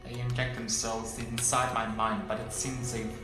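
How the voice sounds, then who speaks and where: A man's voice: mid-range voice, completely flat delivery, normal speed, natural voice. A man playing a defiant, captive professor in an audio drama. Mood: worried, wary. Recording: cavernous echo with music behind.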